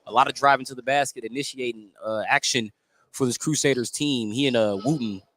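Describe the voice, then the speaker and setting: A man's voice: mid-range voice, steady tone, a little fast, a bit bright. A man with an American accent giving conversational color commentary at a live basketball game. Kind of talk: live sports analysis, conversational. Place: indoor sports gym. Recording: clear gym noise behind.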